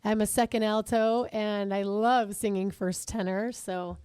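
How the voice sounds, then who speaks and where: A middle-aged woman with a husky, raspy voice, hosting a casual podcast chat, joking about herself and laughing often. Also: medium pitch, fairly even intonation, unhurried, a little mellow, no echo. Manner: chatty, self-deprecating, laughs often. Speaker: podcast host.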